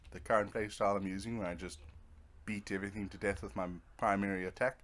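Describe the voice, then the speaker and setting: Low-voiced man doing casual game commentary. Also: fairly even intonation, quick pace, a bit bright. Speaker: gamer playing and narrating a video game. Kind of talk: casual let's-play commentary.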